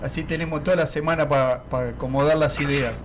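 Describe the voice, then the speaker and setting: A man's voice: a little high, steady tone, fairly quick, slight background noise. A man with a Rioplatense accent hosting a radio show, wrapping up in a friendly colloquial way. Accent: Rioplatense Spanish. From Argentina. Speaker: radio host.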